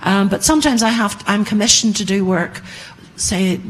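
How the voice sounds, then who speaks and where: Female panelist, a writer. Medium pitch, informal, anecdotal delivery. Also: normal speed, husky, rough recording.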